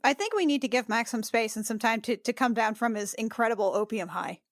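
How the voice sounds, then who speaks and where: A woman's voice: on the high side, animated, fairly quick, dry recording, studio quality. A woman playing a tabletop game, chatting casually and improvising role-play.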